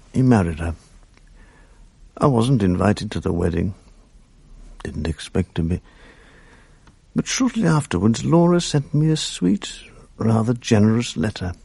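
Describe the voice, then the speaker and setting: A man narrating in the first person for a 1980s radio drama, with a rueful, reflective delivery. His voice is low, gravelly and dark, and husky at the edges, recorded close in a dry studio. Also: no room sound.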